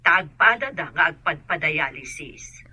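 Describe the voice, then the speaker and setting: A woman playing a worried older aunt in a radio drama, husky and sounding distant. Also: sits low, expressive, a little slow, bright, forceful tone, no echo, exaggerated voice. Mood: worried, subdued. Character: worried older aunt bearing family news.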